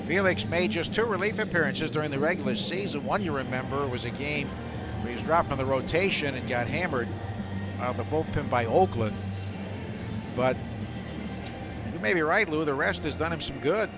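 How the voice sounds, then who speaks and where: A man's voice: a little high, normal speed, loud music behind the voice. A man doing live radio baseball play-by-play in short, clipped, descriptive phrases. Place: outdoor ballpark broadcast booth. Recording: loud stadium noise behind the voice.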